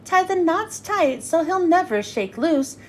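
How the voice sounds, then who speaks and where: A woman's voice: extremely high pitch, lively intonation, a little slow, slight background noise. A woman voicing a rowdy, menacing gang of storybook animals, barking out commands. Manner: shouted commands.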